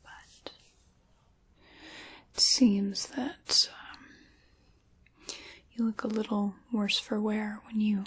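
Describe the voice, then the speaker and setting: A woman playing a sinister, chaos-loving dark witch in a whispered, theatrical ASMR roleplay, soft-spoken and close to the microphone. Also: medium pitch, little pitch movement, very slow, long pauses, breathy edge. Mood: wry, musing.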